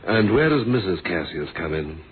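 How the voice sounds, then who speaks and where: Dry inspector, lively pitch, thin recording. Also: male voice, moderate pace, breathy edge, exaggerated voice. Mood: dry, polite. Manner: dry, understated, questioning. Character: dry, probing, politely barbed male police inspector. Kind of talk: radio drama acting. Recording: noisy, thin phone-like old radio recording.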